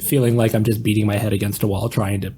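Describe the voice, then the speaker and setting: A man with a fairly low voice talks casually as a podcast panelist. His delivery is halting and reflective, with a little background noise on the recording. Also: little pitch movement, dark tone.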